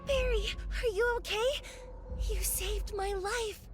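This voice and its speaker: A timid young girl from a video game, extremely high in pitch. She talks slowly and haltingly, with a sweet, meek manner. Music is clearly heard behind her. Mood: tearful. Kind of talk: scripted dramatic game acting.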